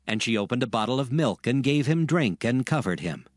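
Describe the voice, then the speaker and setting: A man's voice: mid-range voice, lively intonation, a little fast, dry recording, clean studio sound, natural voice. A man narrating dramatized scripture as a solemn storyteller, reading scripted text.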